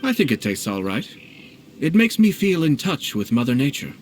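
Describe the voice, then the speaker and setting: A man's voice: medium pitch, very animated, soft background music, lightly acted. Man playing a composed, refined young nobleman. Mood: amused, composed. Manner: polished, measured, formal. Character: refined young nobleman commissioner, calm, playfully curious. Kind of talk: video game voice acting.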